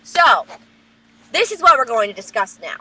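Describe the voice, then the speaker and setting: Loud, high-pitched woman ranting. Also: very animated, normal speed, slightly rough, rough recording. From New Jersey. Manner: loud, profane, heated ranting. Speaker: vlogger. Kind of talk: webcam rant. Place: at work. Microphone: webcam.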